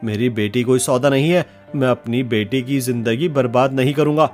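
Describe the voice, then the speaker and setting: A man playing a poor but proud village blacksmith and father, earnest and indignant, in an animated moral story. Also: medium pitch, moderate pace, faint music behind, close to an everyday voice. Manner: pleading, indignant.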